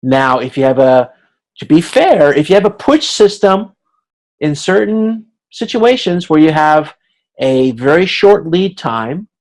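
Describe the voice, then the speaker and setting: Man lecturing informally, halting delivery. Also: a little high, sing-song, darkish tone. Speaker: instructor. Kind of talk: explanatory lecture on a video conference.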